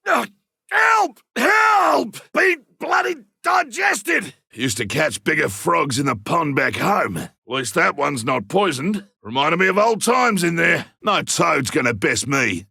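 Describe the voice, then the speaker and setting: Gravelly Australian monster hunter. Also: male voice, high-pitched, no room sound, studio quality, exaggerated voice. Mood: panicked struggle, then calm, wry. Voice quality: gravelly, rough. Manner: colloquial, blunt, forceful delivery. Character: gruff male monster hunter, rugged, cocky, seasoned trapper. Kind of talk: video game combat barks and callouts.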